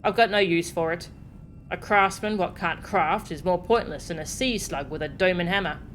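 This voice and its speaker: A woman's voice: fairly low pitch, moderate pace, fairly bright tone, slight background noise, natural voice. A woman voicing a bitter, self-pitying male craftsman in a video game, grumbling in crude colloquial speech. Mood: bitter, despairing. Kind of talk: reading video game character dialogue. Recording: game music behind the voice.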